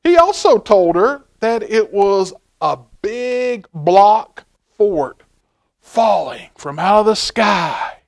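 Folksy, animated man, high-pitched. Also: takes it slowly, vocal fry. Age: middle-aged. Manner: folksy, expressive, asking the listener questions. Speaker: library storytime reader. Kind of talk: reading a picture book aloud to children, with chatty asides. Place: indoor library children's room.

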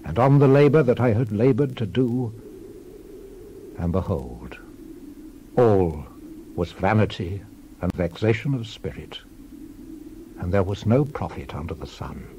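A man playing a world-weary, reflective old king in a solemn, measured dramatized scripture reading, deliberate and letting his phrases fall away. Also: lowish voice, very animated, dark tone, slight background noise. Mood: stately, reflective. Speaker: actor in a dramatized Bible reading.

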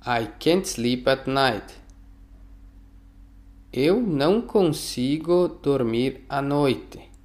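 A man teaching phrases, reading each one slowly and repeating it for learners. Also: mid-range voice, animated, a little mellow. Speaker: language teacher. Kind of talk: slow phrase drill for learners.

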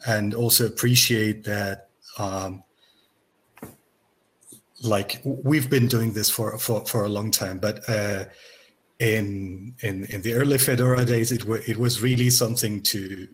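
An older man from Germany with a German accent, a software developer talking on a video call with many fillers and restarts. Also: fairly low pitch, slightly rough, rough recording. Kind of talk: casual video call chat. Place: small room at home. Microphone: headset on a video call.

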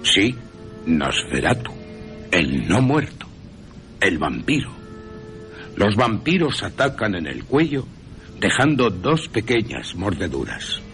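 A man voicing a grave, assured professor who hunts vampires, speaking emphatically and deliberately in a radio play with music underneath. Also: fairly low pitch, sing-song, a bit bright, heavily acted. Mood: grave, emphatic.

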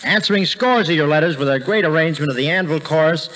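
High-voiced 1940s radio announcer man. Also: quick pace, fairly bright tone. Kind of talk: 1940s variety show announcing. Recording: noisy 1940s radio recording with audience.

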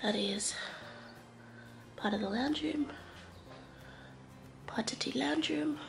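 Young Aussie woman, congested, slow rambling vlog talk, gravelly. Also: sits high. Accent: Australian. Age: young adult. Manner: rambling, hesitant, many fillers. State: congested, blocked nose. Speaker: vlogger. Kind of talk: casual vlog chat to camera. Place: living room of a house, indoors. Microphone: handheld camera at arm's length.

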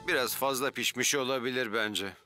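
A man's voice: mid-range voice, fairly quick, close to an everyday voice. A man voicing a kindly, easily alarmed handyman dad in a children's cartoon, speaking expressively. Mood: kindly, reassuring. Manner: expressive, animated. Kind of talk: animated children's cartoon dubbing. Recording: music behind the dialogue.

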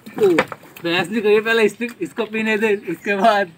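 Young guy, high voice, hyped vlog chat outside, noisy yard. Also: unhurried. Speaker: vlogger. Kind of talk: excited casual vlog commentary to camera. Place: open dirt farmyard, outdoors. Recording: noisy outdoor yard, birds and animals.

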